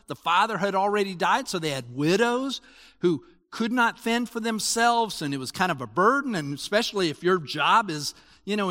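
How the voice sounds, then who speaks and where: Animated man preaching in a reverberant hall. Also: high-pitched. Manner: animated, conversational preaching with restarts. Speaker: pastor. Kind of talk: sermon.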